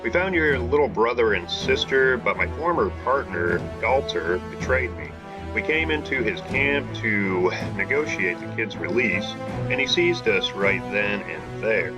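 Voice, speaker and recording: A man's voice: fairly low pitch, expressive, a little slow. A man reading as a blunt vengeful rogue. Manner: reads dialogue aloud in a plain voice. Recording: dull lo-fi audio with faint music.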